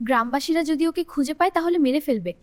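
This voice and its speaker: A woman voicing a curious, kind-hearted child in a cartoon, with earnest, expressive delivery. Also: sits high, rapid-fire, dry recording, close to an everyday voice. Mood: concerned. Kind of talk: cartoon dub dialogue.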